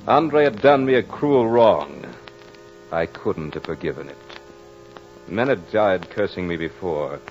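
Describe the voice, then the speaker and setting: A muffled old radio recording with music carries a proud soldier and duelist. His voice is low, his delivery florid and theatrical, in the grand manner of a mid-century horror broadcast. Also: animated, measured pace. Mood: brooding, remorseful. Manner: theatrical, formal, florid diction. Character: proud battle-hardened soldier duelist, remorseful as he narrates. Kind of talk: 1940s-50s radio horror drama acting.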